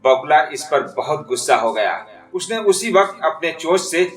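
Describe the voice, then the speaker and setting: A man's voice: on the high side, animated, normal speed. A man narrating a scripted moral story for children as a storyteller. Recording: distant, echoey, music behind.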